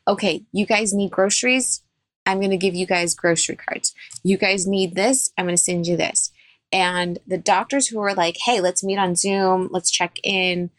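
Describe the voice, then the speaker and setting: A woman's voice: medium pitch, steady tone, normal speed, dry recording. A woman dentist, a guest on a podcast interview, giving firm and emphatic advice.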